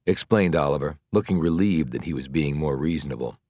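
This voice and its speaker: A man with a deep voice narrates a thriller audiobook. His reading is scripted and dramatic, recorded in a dry studio. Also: fairly quick, a little mellow, no echo.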